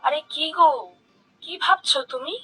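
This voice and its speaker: A village housewife in a cartoon, voiced by an actress, watchful and prone to nag. She has a high, bright voice. Her delivery is questioning and domestic. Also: moderate pace, faint music behind. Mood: suspicious, puzzled. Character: suspicious village housewife, shopkeeper's wife. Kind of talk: cartoon character acting.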